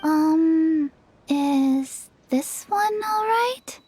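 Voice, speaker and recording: A woman voicing a shy, polite little girl in a video game, with a small childlike voice and halting, subdued delivery. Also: very high voice, expressive, music in the background, exaggerated voice.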